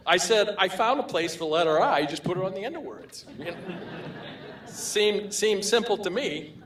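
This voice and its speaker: A man's voice: sits high, lively intonation, moderate pace, a bit bright. An older man with a Maine accent giving a humorous award acceptance speech, telling anecdotes with chuckles. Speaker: award recipient. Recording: roomy hall echo.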